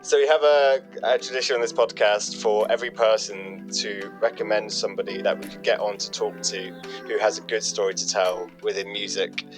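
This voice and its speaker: A man's voice: lowish voice, fairly even intonation, brisk, music in the background. A man hosting a casual podcast interview and putting questions to his guest.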